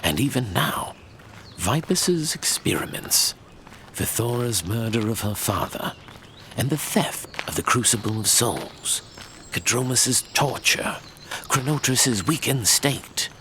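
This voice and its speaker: A man playing a learned old archivist who tells a fantasy legend in a grave, measured, theatrical way. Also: medium pitch, noisy background. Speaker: narrator. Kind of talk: dramatic fantasy audio drama storytelling.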